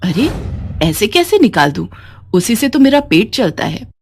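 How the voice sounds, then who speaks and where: Woman as a gentle, expressive old village mother. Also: on the high side, measured pace, slightly rough, pitched above the performer's own voice, heavily acted. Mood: meek, pleading. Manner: meek, plaintive. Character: gentle, long-suffering poor elderly village mother. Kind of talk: acted dialogue in a moral story.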